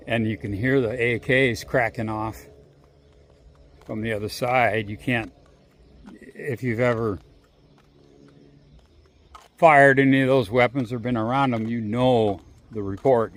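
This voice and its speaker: A man vlogging casually while walking outdoors, rambling and swearing freely, with long pauses. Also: mid-range voice, slight background noise. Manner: rambling, profane, drawn-out pauses. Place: outdoors on a walk. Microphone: handheld phone.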